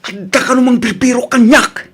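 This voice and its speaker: An actor playing a drunk, hot-tempered, bullying husband in an Ilocano radio drama, speaking Ilocano-accented with a slurred, taunting delivery. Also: male voice, very high voice, moderate pace, slightly rough. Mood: urgent, gruff.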